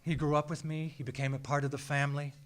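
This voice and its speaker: An American man reads a scripted personal story live on stage, with a measured, theatrical delivery and deliberate dramatic pauses. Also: on the high side, level tone. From small town in Minnesota, United States. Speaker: writer reading a personal essay. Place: small theatre, live event.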